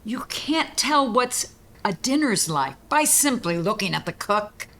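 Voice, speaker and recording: A woman hosting and reading children's poems aloud, with deliberate, emphatic phrasing made for young listeners. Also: mid-range voice, big pitch swings, a bit bright. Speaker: host and poetry reader. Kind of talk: expressive read-aloud of children's poetry.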